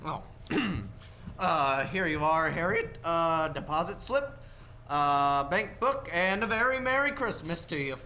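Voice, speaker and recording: A man acting an absent-minded, excitable older man who stammers, in a 1940s-style radio play. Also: a little high, slow pace, a little hiss or hum, full character voice. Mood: cheerfully scattered. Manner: stammering, exclamatory. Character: flustered, absent-minded older man, jovial then panicked.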